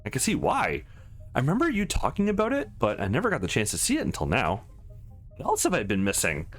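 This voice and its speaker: A man's voice: mid-range voice, huge pitch swings, quick pace. A man voicing a sweet, eager young woman in a visual novel, gushing and a little hesitant, with music behind.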